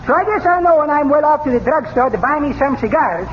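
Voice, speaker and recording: High-voiced neighbor mangling English. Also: male voice, expressive, fast. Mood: rambling, breezy. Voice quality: thick dialect with comically garbled words. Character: cheerful Greek immigrant neighbor man mangling English. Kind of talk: 1930s radio comedy acting. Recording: noisy, muffled 1930s radio recording.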